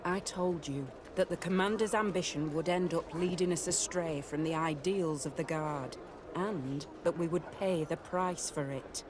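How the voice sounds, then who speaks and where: Game casting: male actor as loyal officer of the Guard, higher register, grave and earnest, clear ambient noise. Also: unhurried, lightly acted. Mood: stern, supportive. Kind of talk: dramatic video game dialogue.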